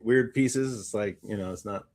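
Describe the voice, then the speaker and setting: A man co-hosting a casual podcast, bantering in a halting way and repeating phrases as he reasons. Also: fairly low pitch, a little mellow. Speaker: podcast co-host.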